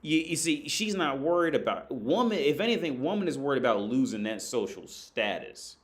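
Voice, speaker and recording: American man, casual livestream host, lively swooping delivery, swears freely and laughs a lot. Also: mid-range voice, normal speed. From United States. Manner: loose, profane, laughing, animated.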